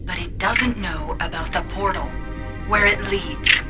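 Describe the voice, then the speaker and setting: A woman playing an artificial intelligence in a game, delivering an urgent warning in a grave, halting way. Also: lowish voice, close to an everyday voice. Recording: game audio with music behind.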